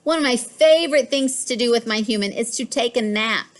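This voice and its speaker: A woman's voice: fairly high pitch, a little fast, voice pushed up, lightly acted. A woman voicing a smug, mischievous pet cat in a storybook, with a boastful, emphatic delivery. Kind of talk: storybook read-aloud.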